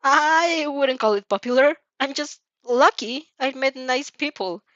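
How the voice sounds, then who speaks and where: A woman voicing a polite, modest teenage heroine with a demure, gently teasing manner in a story reading. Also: sits high, unhurried, no echo, lo-fi sound, pitched above the performer's own voice. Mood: flustered, modest. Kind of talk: fan fiction character reading.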